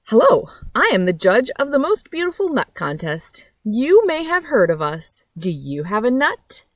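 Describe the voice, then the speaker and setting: A woman's voice: a little high, sing-song, moderate pace, no room sound, studio quality. A woman voicing a crafty storybook chipmunk who talks with pompous, formal self-importance. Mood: pompous, scheming. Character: crafty chipmunk posing as pompous contest judge.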